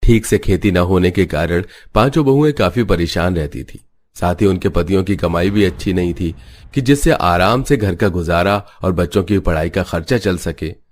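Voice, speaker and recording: A man's voice: sits low, animated, normal speed. A man narrating a cartoon story from a script.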